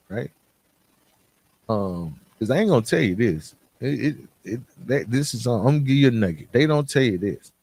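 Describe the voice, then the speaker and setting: Guy with a lowish voice doing a motivational podcast talk, plain spoken, leans hard on key phrases. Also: a little mellow, breathy edge. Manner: emphatic, repeats and contrasts phrases, plain-spoken. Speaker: podcast host. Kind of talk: motivational monologue addressed to listeners.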